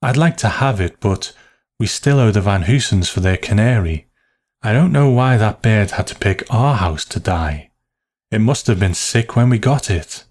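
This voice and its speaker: A man's voice: on the low side, animated, moderate pace, darkish tone. A man voicing a nervous, placating mother in an audiobook story, speaking with cautious, anxious care. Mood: resigned, fretful.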